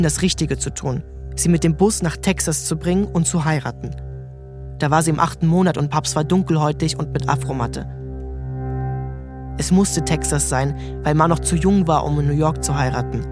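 A man's voice: a little high, steady tone, normal speed, long pauses. A man giving scripted literary narration as the narrator of an audio drama. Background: faint music.

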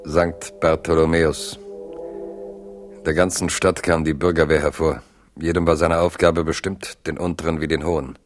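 A man narrating a historical radio play as a grave storyteller, in a solemn, measured, literary manner. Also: very deep voice, flat delivery, faint music behind. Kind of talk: dramatic scripted narration for German radio play.

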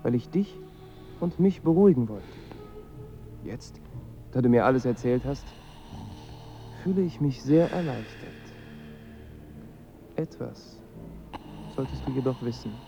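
A man's voice: mid-range voice, unhurried, vocal fry, pauses often, dark tone. An actor playing a dead father who appears to his son in a dream, probing and consoling, in a radio drama. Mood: consoling, relieved. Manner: insistent, probing, then consoling. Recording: dull sound with music behind.

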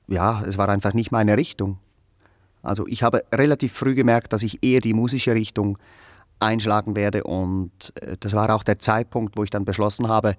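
An actor playing a self-important, evasive educated musician in a radio drama, pedantic and hesitant. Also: male voice, fairly low pitch, no echo, clean studio sound, close to an everyday voice. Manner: pedantic, hesitant, long-winded. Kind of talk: naturalistic radio drama dialogue.

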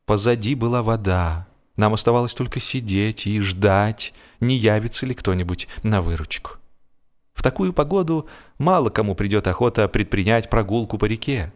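A man's voice: fairly low pitch, expressive, moderate pace. A man reading a humorous story as scripted audiobook narration, expressively, drawing out the ends of his phrases.

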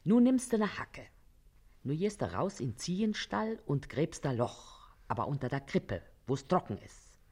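Brusque Berlin washerwoman for radio drama, shrewd and commanding, animated pitch, unhurried overall. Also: slightly rough, exaggerated voice. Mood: conspiratorial, bossy. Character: bossy, shrewd working-class washerwoman and mother. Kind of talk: radio play acting.

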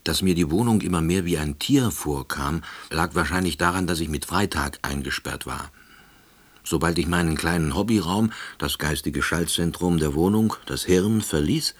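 An actor playing a withdrawn, middle-aged unemployed househusband who narrates a radio play in a dry, ruminative manner. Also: male voice, low-pitched, moderate pace, breathy edge. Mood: brooding, resigned. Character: withdrawn, long-unemployed East Berlin househusband, quiet ruminator. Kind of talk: radio drama first-person narration.

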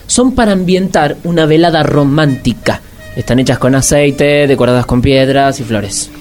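A man's voice: a little high, darkish tone, close to an everyday voice. A man playing an odd, courteous and distracted waiter in a comic radio drama, with a hesitant manner. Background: music behind the voice.

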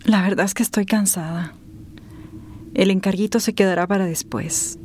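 A woman's voice: mid-range voice, steady tone, moderate pace, a little mellow, a little hiss or hum, close to an everyday voice. Tired, cynical office woman played by an actress. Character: tired, cynical professional woman working late. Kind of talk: radio drama acting.

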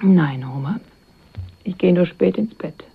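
A woman's voice: lowish voice, expressive, a little slow, creaky, breathy edge, heavily acted. An actress playing a self-possessed, mercenary woman in a radio crime drama, speaking with guarded, dry irony. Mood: cool, dry. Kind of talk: 1980s German radio crime drama acting. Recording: dull, band-limited radio play recording.

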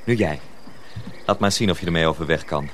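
A man playing a calm senior mill journeyman in a radio play, reassuring and gently instructive. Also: deep voice, brisk, a little hiss or hum. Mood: calm, guiding.

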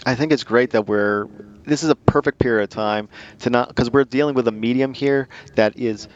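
A man's voice: medium pitch, steady tone, normal speed, muffled recording. Man, podcast host, casual conversation, enthusiastic delivery, mid-range voice.

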